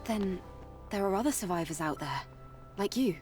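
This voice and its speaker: A woman's voice: mid-range voice, lively intonation, moderate pace, darkish tone, close to an everyday voice. An actress playing a composed, matter-of-fact female soldier bound to her mission, in video game cutscene dialogue. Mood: curious. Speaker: game character. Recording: game audio, slightly distant, music behind.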